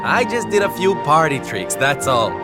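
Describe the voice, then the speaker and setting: A man's voice: on the high side, big pitch swings, moderate pace. A man voicing a flamboyant, upbeat male circus entertainer in a video game, with a camp, gushing, theatrical manner. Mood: fond, modest. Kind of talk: video game cutscene acting. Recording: loud music underneath. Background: loud music.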